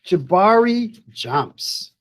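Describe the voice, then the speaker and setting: Woman doing a kids read-aloud, really slow n expressive, lots of up and down. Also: mid-range voice. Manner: expressive, deliberate, pauses to comment to viewers. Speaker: educator reading aloud. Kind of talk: children's storybook read-aloud.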